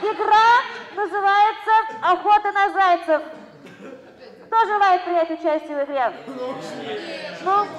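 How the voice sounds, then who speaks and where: A young woman marshalling party games in a Soviet radio play. Her voice is extremely high, and she orders people about in stiff, bureaucratic announcer phrases. A noisy crowd fills the space behind her. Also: measured pace, pauses often, fairly bright tone, muffled recording. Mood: insistent. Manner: bossy, stilted announcer phrasing. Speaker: evening entertainer. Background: noisy crowd in a hall.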